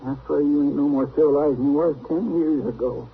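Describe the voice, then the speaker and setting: A man's voice: on the high side, fairly even intonation, fairly quick, breathy edge, narrow, tinny audio. An actor playing an exasperated, bossy frontier lawman's helper with a folksy Southern drawl, in old radio western style. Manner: scolding, querulous. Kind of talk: 1950s radio western acting. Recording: band-limited, noisy old radio recording.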